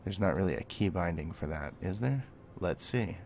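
A man's voice: low voice, animated, moderate pace, darkish tone, slight background noise. A man giving a gameplay tutorial, mixing clipped spoken commands with casual explanation. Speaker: tutorial host.

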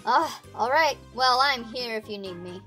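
A woman's voice: fairly high pitch, expressive, moderate pace, pushed and bright, soft background music, voice pushed down. A woman voicing a gallant, self-important male toy space ranger for a children's story.

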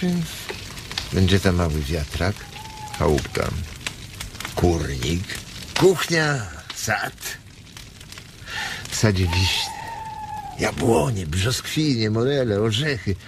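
An actor in a radio drama playing a blunt, weary ranch hand who dreams of his own farm, speaking colloquially with hesitant pauses. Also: sits low, sing-song, exaggerated voice. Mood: dreamy. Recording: noisy ambience behind the voice.

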